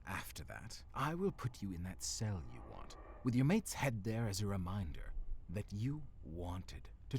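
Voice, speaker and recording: A man plays a menacing villain lord in an audio drama, very deep and gravelly. He talks with measured, mock-polite condescension, his pitch gliding widely as he addresses his captive. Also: slight background noise, exaggerated voice. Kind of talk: dramatic audio roleplay acting.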